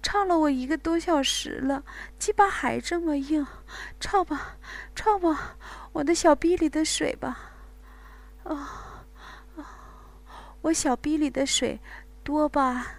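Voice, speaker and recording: A woman voicing a passionate adult woman in an intimate scene, with breathy, high moaning cries. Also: takes it slowly, full character voice.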